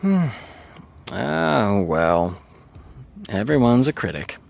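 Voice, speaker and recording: Pompous, animated TV showrunner. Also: male voice, mid-range voice, creaky, noisy background, exaggerated voice. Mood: coldly dismissive. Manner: pompous, deliberate, lecturing. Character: smug, self-assured male TV showrunner and writer. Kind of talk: naturalistic audio drama acting.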